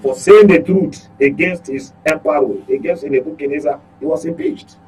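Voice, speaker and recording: A man's voice: on the high side, moderate pace, a little mellow, poor audio. A Nigerian man speaking as a guest commentator in a political discussion, emphatic and repeating phrases for stress.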